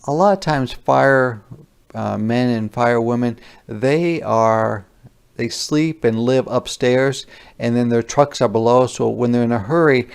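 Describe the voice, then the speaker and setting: A man with a creaky voice reading a children's picture book aloud and pausing to explain words simply. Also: mid-range voice.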